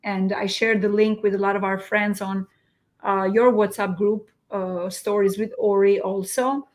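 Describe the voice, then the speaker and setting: A woman from Albania hosting a casual live interview, telling a story in an animated way and laughing readily. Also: mid-range voice, measured pace. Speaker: host.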